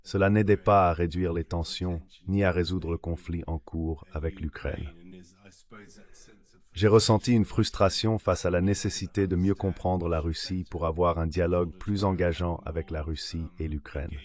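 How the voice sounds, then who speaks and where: A low-voiced man delivers an interview guest's answers as a dubbed translation. The reading is scripted and measured, in an explanatory register. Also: steady tone, darkish tone. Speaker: interview guest, former diplomat.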